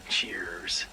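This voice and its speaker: An actor playing a sleepless, paranoid American scientist, rambling nervously and haltingly through a dramatic game audio log. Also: male voice. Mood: weary, wry.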